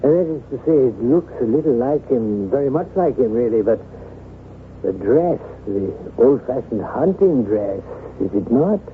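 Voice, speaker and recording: An actor playing a courtly, cautious gentleman and loyal friend in radio drama style, polite and hesitant. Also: medium pitch, animated, moderate pace, lightly acted. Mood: uneasy, probing. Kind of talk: 1970s radio drama acting. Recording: tinny, band-limited old radio recording with hiss.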